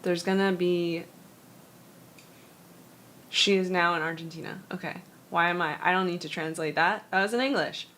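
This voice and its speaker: A woman's voice: lowish voice, a bit bright. A woman hosting a casual art critique livestream, chatting loosely and reading out viewer messages. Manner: chatty, loose, reads and translates viewer text; halting non-native Spanish. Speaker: stream host.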